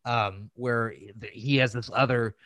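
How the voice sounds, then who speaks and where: A man's voice: medium pitch, fairly even intonation, measured pace, a bit bright, no room sound. A man hosting a podcast, chatting casually about films in a crass, emphatic and gushing way. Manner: crass, freely swearing. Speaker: podcast host. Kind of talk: casual film review chat.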